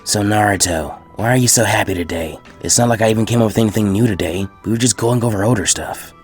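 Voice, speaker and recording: A man's voice: fairly low pitch, fast talker, husky, faint music behind. A man voicing a laid-back, skeptical teenage boy ninja in a story reading.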